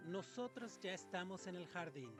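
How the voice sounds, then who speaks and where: A recorded speaker voicing a neighbor in a scripted language lesson dialogue, enunciating slowly and carefully. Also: mid-range voice, lively intonation, music in the background, natural voice. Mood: welcoming.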